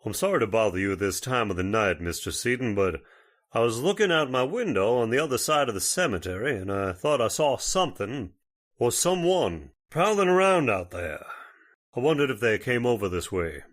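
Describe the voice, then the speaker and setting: A man acting a folksy, genial, steady older judge in an old-time radio horror play, speaking with deliberate politeness. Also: mid-range voice. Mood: polite.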